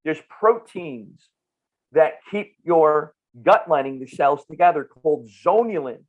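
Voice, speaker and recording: Emphatic New Jersey man, higher-pitched, slightly dull sound. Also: lively intonation, a little slow. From northern New Jersey, United States. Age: middle-aged. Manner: emphatic, repeats phrases and asks rhetorical questions. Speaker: nutrition clinician, podcast guest. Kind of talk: conversational explaining with anecdotes.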